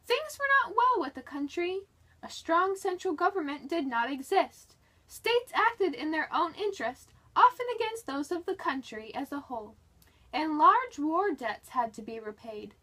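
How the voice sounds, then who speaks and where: A woman's voice: very high voice, sing-song, moderate pace, sharp tone. A woman reading a history book aloud in a dramatic, scripted narration style.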